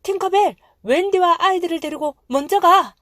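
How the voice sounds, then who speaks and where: A woman's voice: extremely high pitch, moderate pace, fairly bright tone, no room sound, studio quality, pitched above the performer's own voice. A woman voicing a brave, commanding young boy hero in a children's storybook reading. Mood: urgent. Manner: bold, spirited, commanding. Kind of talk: children's storybook read-aloud.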